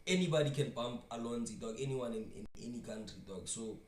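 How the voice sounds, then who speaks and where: A man's voice: mid-range voice, fairly quick, a little mellow. A South African man commenting casually, rambling and repeating words while mixing in local slang. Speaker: reaction streamer. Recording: echoey room, far from mic.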